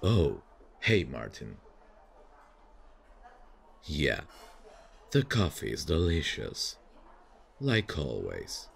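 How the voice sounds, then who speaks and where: A man playing a very deep, gravelly weary detective. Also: a little hiss or hum, heavily acted. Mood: friendly. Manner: slow, musing, muttering to himself. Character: weary, brooding male former detective thinking aloud. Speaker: ASMR roleplay voice actor. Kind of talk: ASMR audio drama inner monologue. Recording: very roomy, echoing sound.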